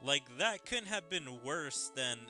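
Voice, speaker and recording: A man commentates on a game in a casual, musing way. His voice is very bright and forward, a little high for a man. He takes his time, and game music plays faintly behind him. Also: lively intonation, takes it slowly. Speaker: gaming streamer. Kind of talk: let's play commentary, reading game dialogue aloud.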